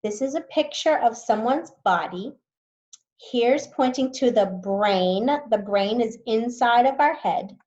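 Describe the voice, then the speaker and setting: Brief: a patient woman teacher who reads slowly and stops to explain words, over a muffled home mic. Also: fairly high pitch, darkish tone. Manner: slow, patient, explains words as she goes. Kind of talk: reading a science book aloud to students.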